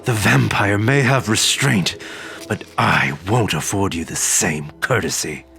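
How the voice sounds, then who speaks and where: A brooding, obsessive avenger in a radio drama. His voice is fairly low and full of gravel. He speaks with intense menace. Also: a little slow, bright, forceful tone, faint music behind, full character voice. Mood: menacing. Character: brooding, obsessed male avenger hunting a phantom.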